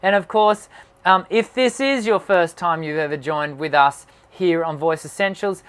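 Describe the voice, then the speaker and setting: A man who hosts a singing channel, answering viewer questions on a live stream in an encouraging tone and often pausing with "um". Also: on the high side, a bit bright, smooth. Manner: encouraging, talks with many "um" fillers. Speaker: singing teacher and channel host.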